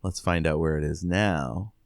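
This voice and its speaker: A man's voice: rumbling low, unhurried, no echo, studio quality. A man co-hosting a podcast, discussing a book casually. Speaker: podcast co-host. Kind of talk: casual book discussion with short read passages.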